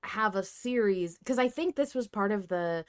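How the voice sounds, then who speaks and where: A woman's voice: medium pitch, animated, normal speed, smooth. A woman hosting a podcast in casual, enthusiastic discussion. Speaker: podcast host.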